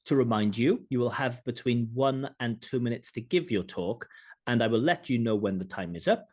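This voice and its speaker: A man working as a speaking examiner, asking scripted questions in a measured, formal way over a video call. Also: mid-range voice, a little mellow.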